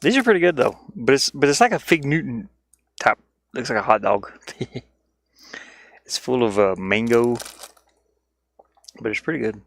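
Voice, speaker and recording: A man's voice: mid-range voice, lively intonation, moderate pace, gravelly, long pauses, dry recording. Rambling, playful man on a casual stream. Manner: rambling, playful, easily sidetracked. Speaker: streamer and indie game developer. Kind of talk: casual livestream chat while working. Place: inside a van.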